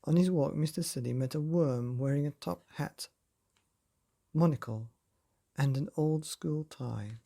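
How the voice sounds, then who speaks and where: Man, low-key mellow tone, gravelly creak, playful expressive storybook narration for children. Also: a little slow. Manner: playful, expressive, asks the listener questions. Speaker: bedtime story podcast narrator.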